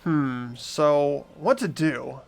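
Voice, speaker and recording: A man's voice: on the high side, drawn-out words, vocal fry, soft background music, exaggerated voice. A man voicing a mild, hesitant, put-upon teenage boy in a game playthrough. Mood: puzzled. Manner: hesitant, questioning. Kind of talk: voiced visual novel game playthrough.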